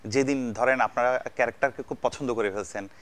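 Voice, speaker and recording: A man's voice: mid-range voice, brisk, fairly bright tone. A male chat show host from Bangladesh with a mid-range voice. He talks casually to his celebrity guests, teasing them and praising them generously. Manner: jokey, effusively complimentary to guests.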